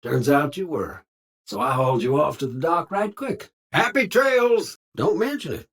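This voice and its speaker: An actor voicing a folksy, old-time cowboy security robot for a video game, with an American Western drawl and colorful frontier slang. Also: male voice, on the high side, expressive, normal speed, a bit bright, husky, heavily acted. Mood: jaunty, friendly. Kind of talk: video game character voice lines.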